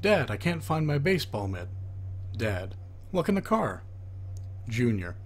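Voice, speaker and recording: A man's voice: mid-range voice, very animated, measured pace. A man reading a children's joke book aloud, announcing who speaks before each line and lifting his voice for the questions. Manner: lifts his voice for the asking half of knock-knock jokes.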